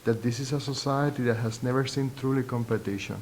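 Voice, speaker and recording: A middle-aged man and native Spanish speaker with a thick Puerto Rican accent, giving reflective, halting, deliberate interview answers as a university professor. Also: darkish tone. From Puerto Rico. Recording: noisy, low rumble behind.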